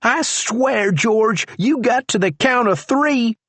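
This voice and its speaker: A jumpy young country fellow with a tight, high, strained voice, as a male storyteller puts him on. His pitch swings widely and his voice stays dry and close. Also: huge pitch swings, slow, dry recording, heavily acted. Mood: agitated. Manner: high, strained, rural talk. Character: nervous young man with a pistol, stubborn.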